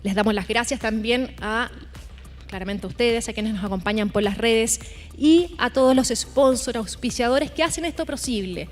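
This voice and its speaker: A woman hosting a live conference, introducing each speaker and talking to the audience in a slightly high voice. Soft music plays in the background of the large hall. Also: moderate pace. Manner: announcing speakers, addressing the audience. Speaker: event host. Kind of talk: live event presenting.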